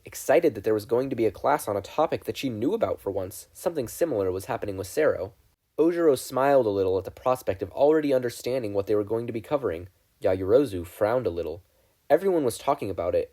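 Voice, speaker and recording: A man reading a story aloud as a narrator, in an expressive scripted style. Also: lowish voice. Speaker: fan fiction podfic reader.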